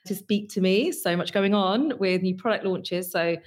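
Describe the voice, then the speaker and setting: A woman's voice: mid-range voice, moderate pace. A woman with a London accent, hosting a podcast with a polished, enthusiastic delivery of her intro and questions. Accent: London English. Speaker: podcast host. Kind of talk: scripted intro and interview.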